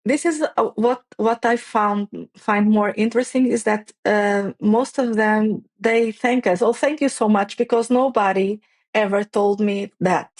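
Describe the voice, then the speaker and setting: Woman, English, hesitant, restarts, mid pitch, dry sound. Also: steady tone, measured pace, no room sound. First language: Portuguese. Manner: hesitant, many restarts and fillers, non-native phrasing. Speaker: guest, playwright and facilitator. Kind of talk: conversational interview.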